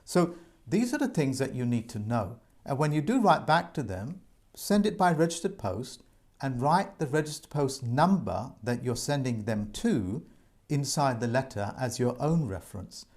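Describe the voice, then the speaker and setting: A man's voice: medium pitch, big pitch swings, moderate pace, darkish tone. A man giving viewers earnest, repetitive advice in an informal monologue, addressing them as folks. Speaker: presenter giving debt advice.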